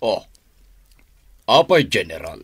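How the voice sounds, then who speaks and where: Low-voiced, commanding crime boss. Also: male voice, big pitch swings, takes it slowly, breathy edge, no room sound, clean studio sound, heavily acted. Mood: stern, commanding. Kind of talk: radio drama acting.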